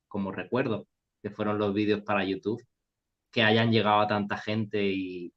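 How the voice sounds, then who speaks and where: A man from Cuba speaking casually as an interview guest, recorded in dull lo-fi sound. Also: fairly low pitch. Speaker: interview guest, trail hiker. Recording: dull lo-fi call audio.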